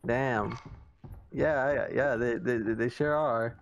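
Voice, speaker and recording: A man's voice: mid-range voice, steady tone, slow pace, dull audio, slight background noise. A man joining a game stream, bantering casually and tossing out teasing jokes. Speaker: friend in the stream chat.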